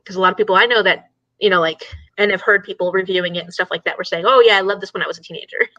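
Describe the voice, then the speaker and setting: A woman's voice: medium pitch, steady tone, rapid-fire, pushed and bright, dry recording, muffled recording. A woman hosting a casual book discussion in a conversational, discursive way. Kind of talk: casual chat.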